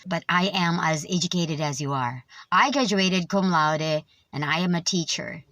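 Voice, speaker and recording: A woman teacher speaks earnestly, with hurt in her tone, in a lowish voice. The sound is dry and close to the mic. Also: moderate pace, fairly bright tone, dry recording.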